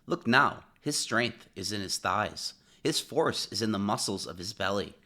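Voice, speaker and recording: Bright, forceful man reading scripture dramatically. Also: fairly low pitch, lively intonation, unhurried. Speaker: audiobook narrator of scripture. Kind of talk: dramatic scripted Bible narration.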